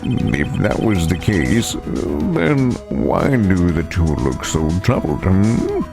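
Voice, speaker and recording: A deep-voiced older lawyer acted by a man stammers and deliberates in avuncular fashion. The speech is slow and swings widely in pitch, a theatrical game performance over clear music. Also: sing-song, heavily acted. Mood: ponderous, concerned. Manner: stammering, ponderous. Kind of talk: dramatized video game dialogue. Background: background music.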